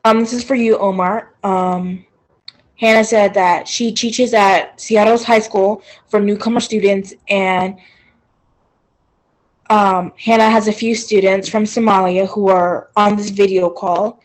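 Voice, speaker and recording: A woman moderating a library author talk, reading out audience questions with many hesitant pauses. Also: mid-range voice, steady tone, rough recording.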